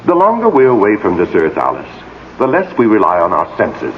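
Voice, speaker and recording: Deep, swooping, world-weary ghostly husband. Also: male voice, huge pitch swings, normal speed. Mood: wistful, droll. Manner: droll, languid, theatrical phrasing. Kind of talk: 1980s radio mystery drama acting. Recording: muffled, noisy old radio broadcast recording.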